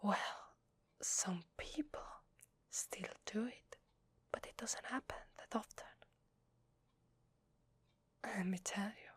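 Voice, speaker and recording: A woman with an Italian accent playing a shy, secretly needy vampire lady in a hesitant, breathy whisper for an ASMR roleplay. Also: medium pitch, takes it slowly, long pauses, no room sound, exaggerated voice. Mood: polite, hesitant. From Italy. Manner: hesitant, polite, soft-spoken. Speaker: ASMR voice actor.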